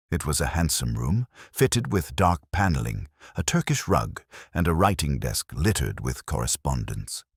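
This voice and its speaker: A man reading a period detective story as its narrator, in a measured, formal, literary manner. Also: very deep voice, breathy edge, dry recording, studio quality. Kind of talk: scripted audiobook narration of a Victorian detective story.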